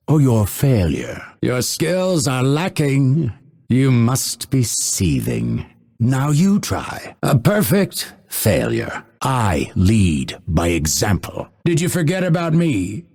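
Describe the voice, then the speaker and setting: Game assassin: hunted outcast, spiteful and sardonic, heavy gravel, swinging inflection, menacing. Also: male voice, mid-range voice, darkish tone, heavily acted. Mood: taunting, spiteful. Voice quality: gravelly, husky. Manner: measured, menacing, dryly sardonic. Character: unveiled male assassin, hunted since birth, bitter, spiteful, coldly purposeful. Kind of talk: video game character voice lines.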